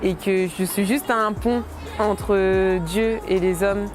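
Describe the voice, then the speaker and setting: A woman's voice: mid-range voice, steady tone, slow pace. Hesitant young woman dancer, music behind. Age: late 20s. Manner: hesitant, many fillers, soft laughs. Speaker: dancer interviewee. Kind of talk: casual interview testimony.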